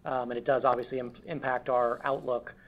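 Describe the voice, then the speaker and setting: A man's voice: mid-range voice, level tone, a little fast. A male finance executive with a mid-range voice gives business commentary on an earnings call. He picks his corporate wording carefully, with some hesitation, over dull lo-fi audio.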